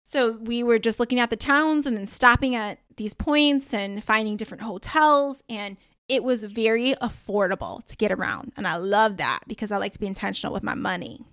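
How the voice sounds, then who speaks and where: Casting: upbeat woman podcaster, a bit high, rambling and chatty. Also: moderate pace, a bit bright, dry recording, clean studio sound. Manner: chatty, upbeat, full of asides and slang. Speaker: podcast host. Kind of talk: casual solo podcast talk.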